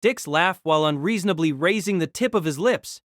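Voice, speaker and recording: A man's voice: fairly high pitch, fairly quick, fairly bright tone, no room sound, studio quality. A man reading scripted dramatic narration for an audio drama.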